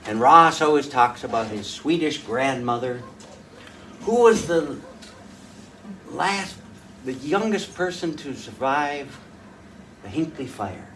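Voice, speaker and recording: A man's voice: mid-range voice, animated, slow, long pauses. Older male folk fiddler, rambling folksy storyteller, recorded from across the room with faint room hum. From rural northwest North Dakota. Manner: rambling, folksy, with frequent uh's and restarts. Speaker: folk fiddler giving a talk. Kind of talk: casual storytelling to a small audience. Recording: distant mic, faint room noise.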